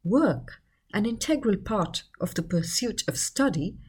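Expressive woman narrator, slightly husky. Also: medium pitch. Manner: measured, explanatory reading. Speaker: article narrator. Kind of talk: scripted educational narration.

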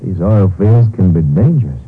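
An actor playing a sly, cocky oil-field villain, smoothly evasive, in a 1950s radio crime drama. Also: male voice, sits low, animated, moderate pace, phone-quality sound. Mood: smug, sly. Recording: thin 1950s radio with faint noise.

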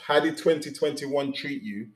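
A man hosting a casual chat show, hoarse from losing his voice, jokey and chatty, stumbling now and then. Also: a little high, normal speed. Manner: jokey, chatty, stumbles while reading an introduction. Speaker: host. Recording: echoey room.

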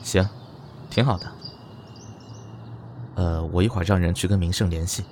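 A very low-pitched young male star, played by an actor, laid-back and laconic. He answers in short, unhurried phrases, with audible background noise around him in this audio drama. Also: steady tone, a little mellow, natural voice. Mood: calm, decided.

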